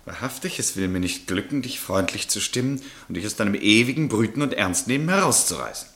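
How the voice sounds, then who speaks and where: A lowish-voiced, charming aristocratic husband. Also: male voice, lively intonation, fairly quick. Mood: weary, frustrated. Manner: teasing, genteel, gently ironic. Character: easygoing, evasive aristocratic husband, charming and indecisive. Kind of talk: German radio drama acting.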